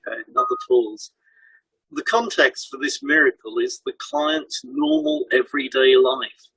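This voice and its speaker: Guy doing scripted podcast explainer, really lively intonation, bit husky, dry close sound. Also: medium pitch, normal speed, no room sound, poor audio. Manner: instructional, explanatory. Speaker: hypnotherapist and podcast co-host.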